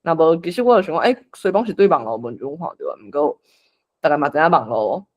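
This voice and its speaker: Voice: mid-range voice, fast talker. A host with a Taiwanese accent, moderating a casual discussion and inviting others to share.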